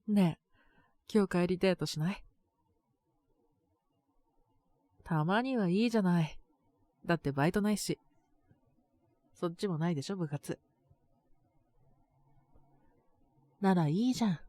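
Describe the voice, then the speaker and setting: A woman playing a boyish, slightly mean but doting teenage girlfriend in a romantic audio drama, speaking in a soft, intimate, teasing way. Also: lowish voice, a little fast, pauses often, no echo, lightly acted. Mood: caring, playful. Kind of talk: Japanese romantic situation voice acting.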